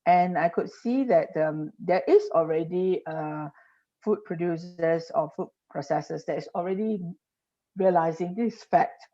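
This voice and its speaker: A woman's voice: fairly low pitch, unhurried, warm tone. A woman, explaining as a podcast guest and piling up examples with frequent restarts. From Singapore. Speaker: industry guest. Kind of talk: podcast interview. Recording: dull lo-fi podcast audio.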